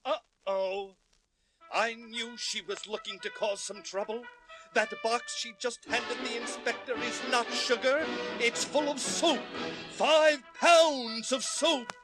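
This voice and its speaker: Children's story narrator, high-pitched, slow, drawn-out, playful, scripted, music behind on vinyl. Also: male voice, steady tone, poor audio. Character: playful storybook-record narrator, formal party announcer.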